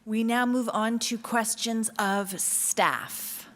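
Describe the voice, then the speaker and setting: A woman chairing a city board meeting, moving through agenda items with brisk, friendly procedural phrasing. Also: fairly high pitch, a bit bright. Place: council meeting room.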